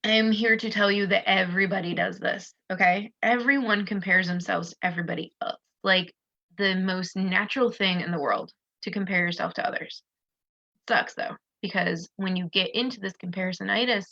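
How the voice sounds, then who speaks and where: Bright-voiced woman with a stuffy nose. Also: medium pitch, lo-fi sound. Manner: chatty, loose, with many restarts and fillers. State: stuffy nose, losing her voice. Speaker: business coach. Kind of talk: casual live-stream advice talk. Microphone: streaming on a social video platform.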